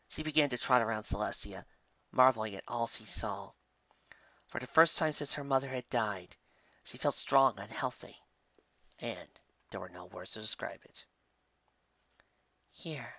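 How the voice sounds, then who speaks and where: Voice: medium pitch, fairly bright tone, dry recording, lo-fi sound. A narrator reading fan fiction aloud in a dramatic, emotive way. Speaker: story narrator.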